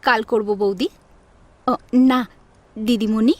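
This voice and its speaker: A woman playing a meek, downtrodden schoolgirl in an audio drama, speaking softly, hesitantly and submissively. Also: on the high side, fairly even intonation, slow, no echo. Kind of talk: Bengali audio drama acting. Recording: music under the voice.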